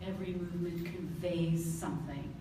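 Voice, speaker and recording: A woman hosting a live event, asking a guest questions with quick asides to the audience. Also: on the low side, fairly even intonation. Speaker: event host and interviewer. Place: large echoey event hall. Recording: echoey hall from far off, noisy.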